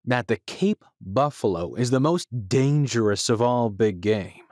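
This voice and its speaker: A man acting as an earnest, polite hunter in an audio drama. His mid-pitched voice moves widely in pitch as he puts direct, curious questions, recorded close and dry. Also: expressive, measured pace, darkish tone, no echo, natural voice. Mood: polite, admiring. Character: earnest, curious male big-game hunter, a polite guest.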